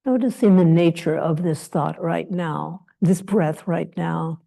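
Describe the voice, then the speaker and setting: A woman leading a guided meditation as a teacher, speaking in short, gentle phrases of invitation with long pauses between them. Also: fairly low pitch, gravelly.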